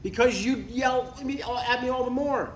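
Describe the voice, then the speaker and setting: A man's voice: very high-pitched, normal speed. A man playing a stubborn but affectionate older father in a live comedy table read, speaking in a matter-of-fact way. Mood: dryly defensive. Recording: roomy, distant live recording, faint noise.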